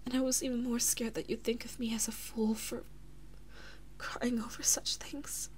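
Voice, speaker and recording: Woman acting breathy, high-voiced, devoted anxious princess. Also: level tone, close to an everyday voice. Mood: ashamed, apologetic. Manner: soft, breathy, halting, emotional delivery with frequent whispering. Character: devoted, anxious big-sister princess and lover, tender and self-reproaching. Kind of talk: intimate audio roleplay, ASMR-like.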